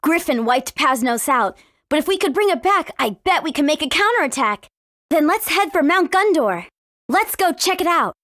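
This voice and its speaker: A woman with an American accent playing a spirited, earnest teenage warrior princess in an English video game, with expressive, emphatic delivery. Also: high voice, normal speed, dry recording, clean studio sound. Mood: earnest, explaining.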